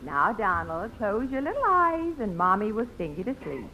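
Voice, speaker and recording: A woman's voice: medium pitch, sing-song, moderate pace, pushed and bright, a little hiss or hum. A woman playing a doting, coaxing mother in a 1950s radio comedy, on a dull old recording. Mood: soothing. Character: doting mother soothing and singing to baby.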